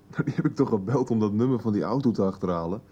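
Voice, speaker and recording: A man's voice: lowish voice, quick pace, warm tone, breathy edge, lo-fi sound. An actor playing a cocky, joking young man in a radio soap, flippant and unruffled. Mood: cocky, relaxed. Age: young adult. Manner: flippant, laid-back. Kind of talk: 1990s radio soap acting.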